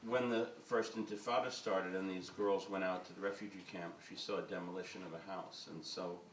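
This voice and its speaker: A man's voice: low-pitched, fairly even intonation, a little fast, far from the mic, slight background noise. A man, a film director on a panel, speaking in a rambling way full of hesitations. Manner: rambling, filled with uhs and ums. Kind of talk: panel discussion. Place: event hall with audience. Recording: roomy hall miked from afar.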